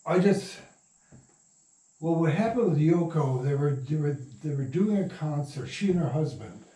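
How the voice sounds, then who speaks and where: A man's voice: on the high side, lively intonation, moderate pace, warm tone. Older man, echoey, reminiscing in circles. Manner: rambling, circling back mid-sentence. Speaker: interview guest. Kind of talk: casual anecdotal storytelling. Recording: echoey room, distant mic.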